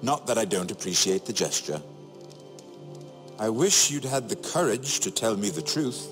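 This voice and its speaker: An actor playing a wry, shrewd middle-aged imprisoned nobleman in a video game, sardonic and theatrical, phrasing each remark deliberately. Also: lowish voice, animated, lightly acted. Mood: accusing. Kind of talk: dramatic video game dialogue. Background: music.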